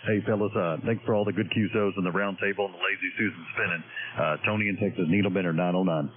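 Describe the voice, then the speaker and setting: A man's voice: low-pitched, steady tone, racing, slight background noise. A man in his fifties chatting casually on a radio band, blunt and joking in a folksy way. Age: 50s. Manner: blunt, joking, folksy banter with call signs. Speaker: radio operator. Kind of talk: casual on-air radio chat. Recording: narrow radio-received audio.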